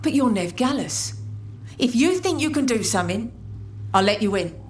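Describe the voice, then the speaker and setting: High-voiced, uneasy underling woman played by an actress. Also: lively intonation, normal speed, slight background noise, lightly acted. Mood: uneasy, conceding. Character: uneasy female underling confiding about her boss. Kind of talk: video game character acting.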